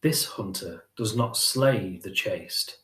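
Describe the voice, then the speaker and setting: Male poetry reader, low voice, dramatic delivery, echoey room. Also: animated, slow pace, fairly bright tone.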